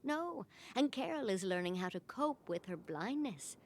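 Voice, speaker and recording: An actress performing a tender elderly woman in a story game. Her voice rises and falls a great deal, and she talks in a soft, reassuring way. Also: mid-range voice, very animated, normal speed, a little hiss or hum, natural voice. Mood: gentle. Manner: gentle, warm, reassuring. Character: kindly old woman caring for orphans. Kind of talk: game voice acting.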